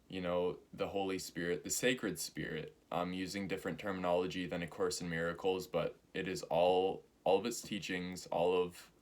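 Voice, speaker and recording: A man in his early twenties giving a spiritual talk, slow and halting, with many pauses and fillers. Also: low voice. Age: 20s. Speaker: spiritual teacher.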